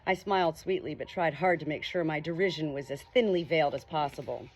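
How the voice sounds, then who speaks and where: A woman's voice: deep voice, a little fast. A woman narrating an audiobook novel dramatically in the first person.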